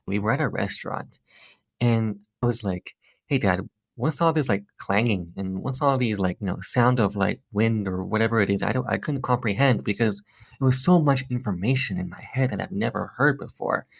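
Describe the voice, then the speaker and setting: Man in his thirties, from Hong Kong, gravelly low voice, earnest storytelling, dry close sound. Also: dry recording. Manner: earnest, reflective, with fillers and restarts. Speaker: guest. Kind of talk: personal storytelling interview.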